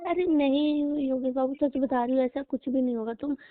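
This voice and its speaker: Young woman, higher-pitched voice, plaintive and confiding, casual intimate chat, narrow tinny phone audio. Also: fairly even intonation, brisk. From rural Uttar Pradesh, India. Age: young adult. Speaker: girlfriend on a personal phone call. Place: phone call recording. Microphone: telephone.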